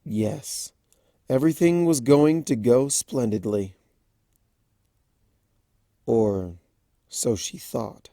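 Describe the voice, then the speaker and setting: A man reading a fan story aloud as an expressive storybook narrator. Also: medium pitch, very slow, pauses often, dry recording, studio quality. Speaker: fan fiction reader.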